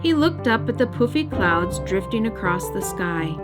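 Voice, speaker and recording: A woman's voice: medium pitch, very animated, moderate pace. A woman reading a story aloud expressively for young children. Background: music.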